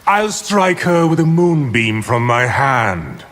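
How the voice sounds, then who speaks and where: A man's voice: mid-range voice, very animated, slow. A man playing a scheming, power-hungry sorcerer villain in a fighting game, speaking with condescending menace. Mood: sly, menacing. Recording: long reverb, loud background noise.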